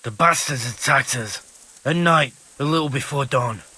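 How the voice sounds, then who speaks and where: A man playing a bitter, gruff, foul-mouthed wounded stable hand in a video game cutscene, with a husky voice. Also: mid-range voice, normal speed, sharp tone, a little hiss or hum. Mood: gruff, weary. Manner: gruff, blunt, swearing.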